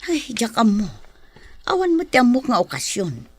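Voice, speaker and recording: Exasperated pleading wife. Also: female voice, fairly high pitch, wildly swooping pitch, moderate pace, slightly rough, soft background music, full character voice. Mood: reproachful. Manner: scolding, pleading with her husband. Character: exasperated, pleading farm wife and mother of a student. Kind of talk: Philippine radio drama acting.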